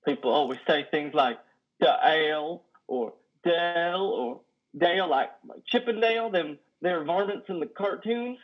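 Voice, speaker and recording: A man in his thirties playing a simple, wounded, lonely trucker with halting, folksy, ungrammatical speech in a play reading. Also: a little high. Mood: nervous, confiding. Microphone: headset on a video call. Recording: dull video call audio.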